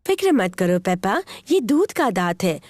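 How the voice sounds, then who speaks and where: A woman voicing a gentle, reassuring mummy pig, a patient mother in a children's cartoon. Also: medium pitch, big pitch swings, unhurried, no room sound, studio quality. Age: adult. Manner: soothing, explanatory. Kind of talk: cartoon dubbing.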